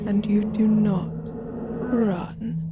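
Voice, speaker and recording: A voice actor playing a hungry, taunting monster that eats bones and souls, slow and gloating, with breathy laughs. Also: medium pitch, little pitch movement, heavy background noise. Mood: gloating menace. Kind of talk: horror audio roleplay acting. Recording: loud background music.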